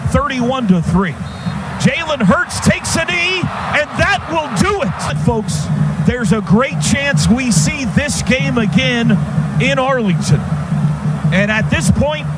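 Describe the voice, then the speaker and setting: Excitable high-pitched male football play-by-play announcer. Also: loud music behind the voice. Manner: clipped rapid phrases, shouting on big plays. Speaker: radio play-by-play sportscaster. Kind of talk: excited live football commentary. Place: stadium radio booth. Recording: noisy stadium radio broadcast. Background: loud stadium noise.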